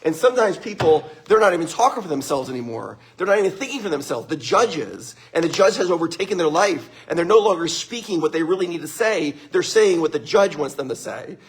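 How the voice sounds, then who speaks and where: A middle-aged man with a husky voice giving an upbeat motivational talk, greeting viewers by name and laughing often. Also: a little high, lively intonation, fairly quick, few pauses. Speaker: motivational speaker. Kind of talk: live-streamed motivational talk.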